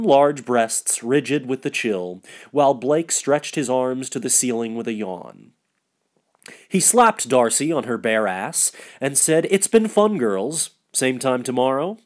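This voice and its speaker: A man giving a scripted narration of literary fiction as the reader. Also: mid-range voice.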